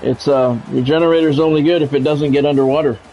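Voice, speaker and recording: A man's voice: fairly high pitch, steady tone, fast talker, mellow, highs cut off, faint music behind. A middle-aged man doing live football game commentary mixed with casual chat, talking in a blunt, profane, conversational way. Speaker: game streamer and play-by-play commentator.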